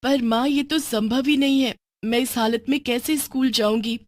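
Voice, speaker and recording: A woman voicing a young half-goat witch girl, an animated, eager daughter in a storybook cartoon. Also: fairly high pitch, normal speed, dry recording, clean studio sound, pitched above the performer's own voice, close to an everyday voice. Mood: pleading. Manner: excitable, pleading, turning gleefully menacing.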